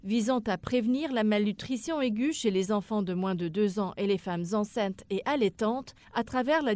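A woman's voice: mid-range voice, a little mellow, no room sound. A woman reading a scripted news report as a radio reporter.

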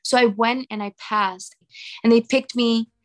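A woman's voice: fairly high pitch, fairly even intonation, unhurried, poor audio. Young woman, venezuelan accent, native spanish speaker, casual singer interview, chatty, lots of "like". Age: young adult. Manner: chatty, filler-heavy with "like".

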